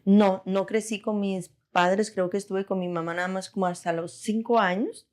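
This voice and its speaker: A woman's voice: medium pitch, steady tone, moderate pace, darkish tone. A woman in her forties from Costa Rica, recounting her life casually as an interview guest. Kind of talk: casual personal storytelling.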